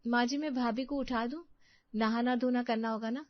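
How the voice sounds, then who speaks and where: Woman doing a fed-up young bahu, high plaintive voice, dry muffled recording. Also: steady tone, moderate pace, no echo, lightly acted. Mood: meek. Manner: plaintive, protesting. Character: overworked, aggrieved young daughter-in-law, pleading. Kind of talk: Hindi family drama storytelling.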